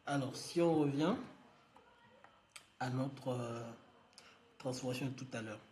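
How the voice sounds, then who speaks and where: A man's voice: medium pitch, unhurried, darkish tone, a little hiss or hum. A man leading a live online lesson, talking casually and thinking aloud with many fillers as he works on screen. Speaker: trainer.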